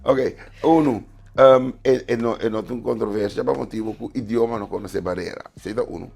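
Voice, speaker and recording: Gravelly, husky-voiced man. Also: mid-range voice, brisk, darkish tone. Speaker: guest writer. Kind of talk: studio talk show discussion.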